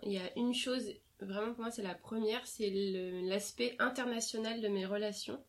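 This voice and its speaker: A woman's voice: medium pitch, fairly even intonation, normal speed. A young woman from France, interviewed about her family and childhood, talking in a casual way with many fillers and restarts.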